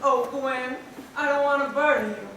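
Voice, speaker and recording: A teenage girl playing a gentle, anxious, protective mother in a stage melodrama. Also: sits high, moderate pace. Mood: tender, worried. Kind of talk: high school stage melodrama. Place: large school theatre stage, filmed from afar. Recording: echoey auditorium from far off, noisy.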